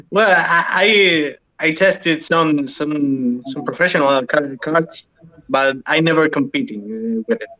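A man from Spain with a Spanish accent, a podcast guest answering interview questions in halting English with many restarts. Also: fairly high pitch, pushed and bright, dull audio. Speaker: podcast guest, sim racing driver.

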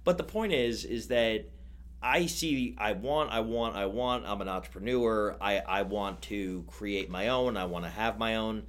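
A man's voice: fairly low pitch, measured pace, a bit bright. A man in his thirties, a business owner talking as a guest on a podcast, rambling with many restarts and fillers. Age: 30s. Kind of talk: casual podcast conversation.

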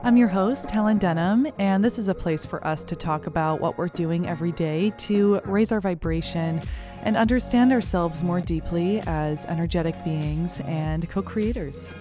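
A woman's voice: on the low side, moderate pace, over music. A woman hosting a podcast, welcoming listeners and introducing her guest with effusive praise before interviewing her. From United States. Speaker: podcast host.

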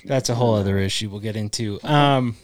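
A man's voice: on the low side, no echo. A young man hosting a casual podcast, easygoing and jokey, tossing questions to his friends. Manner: easygoing, asks questions, quick jokey asides. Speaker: podcast host. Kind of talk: casual chat.

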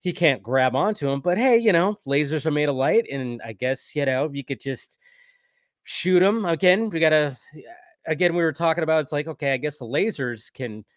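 A man's voice: on the high side, expressive, normal speed, dry recording. Rambling man in casual, dry-sounding chat. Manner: rambling, peppered with "like" and "you know". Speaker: co-host of a cartoon episode review stream. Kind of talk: casual chat, episode recap and commentary.

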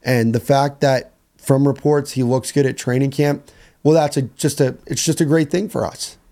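Young guy with a hoarse dark voice, rambling about football on his podcast. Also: medium pitch, fairly even intonation, normal speed. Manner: casual, rambling, repeats phrases. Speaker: podcast host. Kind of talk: solo sports podcast talk.

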